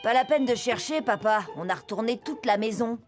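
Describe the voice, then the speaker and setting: Woman playing a high-voiced, lively cartoon boy. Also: fairly quick, close to an everyday voice. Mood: matter-of-fact. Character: lively scheming young boy, cartoon hero. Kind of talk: animated cartoon dub. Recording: music behind the voice.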